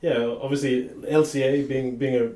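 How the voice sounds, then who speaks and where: A man's voice: mid-range voice, normal speed, roomy sound. A man from Papua New Guinea hosting a podcast, chatting casually with lots of fillers and easy laughter. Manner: relaxed, laughs often, many fillers. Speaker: podcast host. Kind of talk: casual interview chat.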